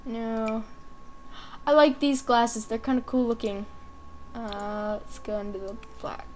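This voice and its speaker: A woman hosts a gaming video in a fairly high voice. She takes her time, musing aloud in brief, offhand remarks. Her commentary is casual and chatty, with noticeable noise behind her. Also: steady tone, slow pace.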